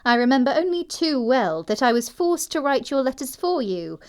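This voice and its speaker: A woman's voice: high-pitched, very animated, normal speed, clean tone. A woman playing a prim, dreamy, self-assured young society girl with a precise, airy delivery in an audio production of a classic comedy. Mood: dreamy, matter-of-fact.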